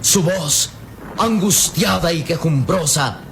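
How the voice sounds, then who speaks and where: A man narrating a radio serial in a solemn, measured, suspenseful and dramatic scripted style. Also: on the high side, creaky, a bit bright, a little hiss or hum.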